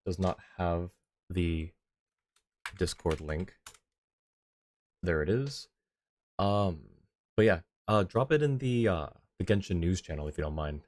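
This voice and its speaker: Low-voiced man streaming a game. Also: a little mellow, dry recording. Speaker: game livestreamer. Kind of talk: casual livestream chat while playing a game. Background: background game music.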